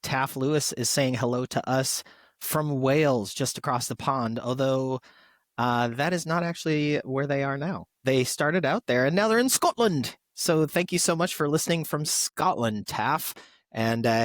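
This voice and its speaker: A chatty male podcast co-host reads listener shout-outs in a casual, appreciative way. His voice sits at an average pitch, recorded close and dry with very little room sound. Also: moderate pace, dry recording.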